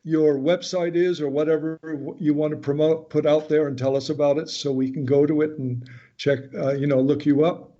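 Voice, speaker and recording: A man's voice: on the high side, steady tone, normal speed, warm tone. A man hosting a radio interview show in a conversational style. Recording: dull lo-fi audio.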